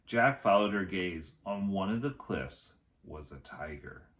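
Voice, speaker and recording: Male teacher, storybook narration: fairly low voice, highly varied intonation, dramatic phrasing for children. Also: animated, unhurried, fairly bright tone. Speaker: teacher reading aloud to children. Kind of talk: storybook read-aloud narration.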